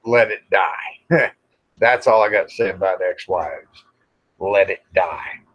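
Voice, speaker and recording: Slow-talking, low-voiced American man. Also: fairly bright tone. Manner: folksy, plain-spoken. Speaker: livestream host. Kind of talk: casual rambling chat with live viewers. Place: outdoors in the evening. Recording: dull lo-fi livestream audio.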